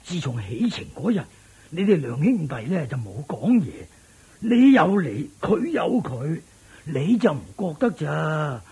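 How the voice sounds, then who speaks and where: Husky, anxious old servant. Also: male voice, a little high, big pitch swings, unhurried, a little mellow, full character voice. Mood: sighing, worried. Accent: Hong Kong Cantonese. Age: older. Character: anxious, loyal old male family servant. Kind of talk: Cantonese radio drama acting.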